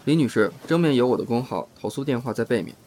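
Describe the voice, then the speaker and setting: A man's voice: mid-range voice, fast talker, darkish tone, natural voice. A man playing a reserved, aloof young insurance adjuster with a restrained, formal manner in an audio drama. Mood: polite, firm. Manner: restrained, formal, matter-of-fact.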